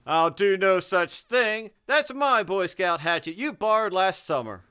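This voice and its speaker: A man's voice: high voice, expressive, unhurried, bright, forceful tone, clean tone, exaggerated voice. A man playing a jovial, boastful, pestering neighbor in a hammy, blustery old-time radio comedy manner. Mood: indignant boasting.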